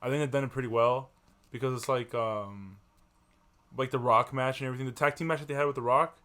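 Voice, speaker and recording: A man's voice: mid-range voice, steady tone, fast, a bit bright. A young man co-hosting a casual podcast, talking in a slangy, laid-back way and often trailing off mid-thought. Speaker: podcast co-host. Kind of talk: casual chat.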